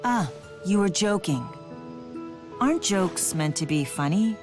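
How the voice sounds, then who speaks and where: A woman's voice: fairly low pitch, lively intonation, unhurried, music in the background. An actress playing a cool, composed woman agent with a dry, analytical manner in a video game.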